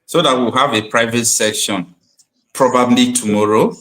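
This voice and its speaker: A man's voice: medium pitch, normal speed, a bit bright, poor audio. Earnest man hosting a call-in. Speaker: online show host. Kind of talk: casual call-in chat.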